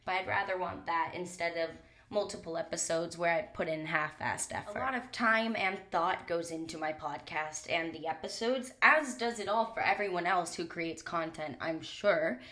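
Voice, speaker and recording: A woman's voice: fairly low pitch, moderate pace, a bit bright. Chatty young woman, slightly stuffed up. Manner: candid, chatty and rambling, with casual swearing. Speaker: podcast host. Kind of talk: solo podcast monologue. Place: small closet. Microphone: podcast mic.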